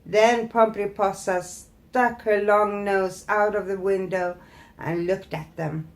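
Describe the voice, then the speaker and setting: Slow-paced woman telling a fairytale. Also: medium pitch, fairly even intonation. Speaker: storyteller, host. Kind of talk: expressive fairytale narration.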